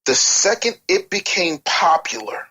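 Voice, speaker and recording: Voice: medium pitch, very animated, measured pace, sharp tone, husky. A speaker in an interview, talking passionately and emphatically, lecturing through rhetorical questions. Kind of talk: passionate interview talk about the music business.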